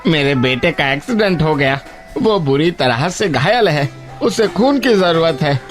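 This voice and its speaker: An actor voicing a wealthy merchant and father in an animated moral story, with an earnest, emotive delivery. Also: fairly high pitch. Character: wealthy merchant, worried father, grateful employer.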